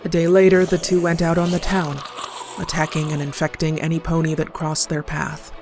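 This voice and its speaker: A woman's voice: deep voice, little pitch movement, moderate pace. A woman narrating a scripted horror story as a storyteller. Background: clear background music.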